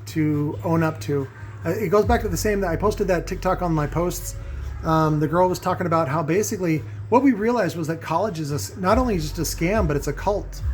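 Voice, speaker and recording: Higher-pitched man rambling over music. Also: a little mellow. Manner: rambling, emphatic, repeats phrases for stress; often checks in with "right?" and "okay?". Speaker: host of a home audio review vlog. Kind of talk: casual opinionated monologue to viewers.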